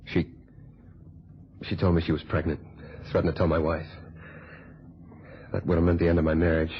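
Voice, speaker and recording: A cornered murderer and straying husband, bitter and without remorse, speaks in a low voice. It is old radio drama acting, on a muffled recording with some noise. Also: male voice, level tone, moderate pace. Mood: bitter, defiant. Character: cornered murderer, unfaithful family man, bitter and unrepentant. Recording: dull noisy vintage radio recording.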